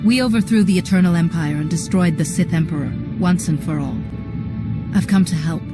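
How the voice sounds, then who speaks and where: A woman playing a composed, compassionate female Jedi commander in a game cutscene, calm and earnest. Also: lowish voice, a little fast, lightly acted. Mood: composed, resolute. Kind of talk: video game cutscene acting. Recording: music under the voice.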